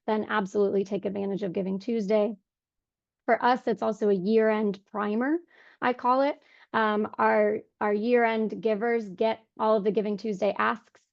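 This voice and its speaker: A young woman with a voice hoarse from a cold, giving an earnest webinar talk on fundraising with many ums. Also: mid-range voice, a little mellow, no room sound. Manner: frequent ums, earnest and conversational. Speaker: fundraising director. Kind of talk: webinar presentation. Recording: dull lo-fi dry sound.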